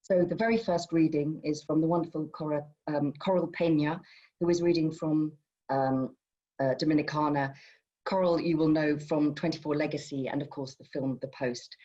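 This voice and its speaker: A woman's voice: sits low, steady tone, normal speed, warm tone. A woman hosting an online literary event, introducing it live in an enthusiastic, encouraging manner over a video call. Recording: dull, lo-fi video call audio.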